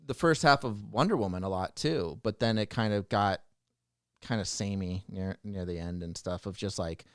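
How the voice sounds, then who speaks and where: A man's voice: on the low side, moderate pace, fairly bright tone, clean tone, no echo, studio quality. A man chatting casually on a talk show panel, giving opinions in informal language with the odd mild swear. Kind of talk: casual chat about movies.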